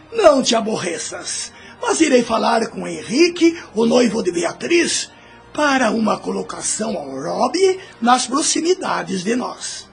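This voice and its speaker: A man playing a kindly, protective old uncle in an audio drama, speaking in a deliberate, avuncular way. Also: high voice, vocal fry, exaggerated voice.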